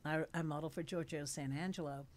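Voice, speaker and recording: A woman's voice: low-pitched, steady tone, fast talker. A woman in her late sixties, an actress, chatting animatedly in an interview at a studio mic, with many fillers. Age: 60s. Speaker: actress guest. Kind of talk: casual interview chat. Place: studio with dark curtains and blue lights. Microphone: studio mic on a stand.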